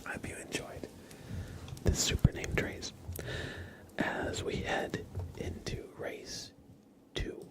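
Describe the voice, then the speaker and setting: A man's voice: slow, long pauses. A man hosting an ASMR live stream, giving mostly whispered, mock-dramatic game commentary.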